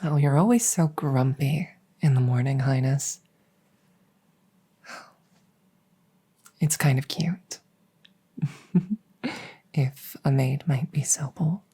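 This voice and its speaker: A woman playing a devoted vampire maid in an ASMR roleplay, speaking softly and formally with soothing deference and gentle teasing. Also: a bass voice, full character voice. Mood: fond teasing. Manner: soft, breathy, deferential, unhurried formal phrasing. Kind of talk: ASMR roleplay, intimate personal-attention audio.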